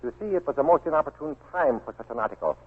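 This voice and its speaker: Through a scratchy, thin 1940s radio recording comes an old Japanese baron. He is husky, measured and formal, all cool politeness and quiet menace. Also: a little high, expressive, narrow, tinny audio. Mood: coolly polite menace. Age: older.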